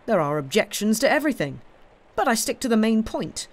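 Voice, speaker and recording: A blunt, opinionated doctor voiced by a male reader in an audiobook tale. He speaks emphatically and a little sulkily, lifted above the reader's usual pitch, with lively rises and falls. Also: normal speed, exaggerated voice. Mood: sulky, stubborn. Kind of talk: audiobook character dialogue.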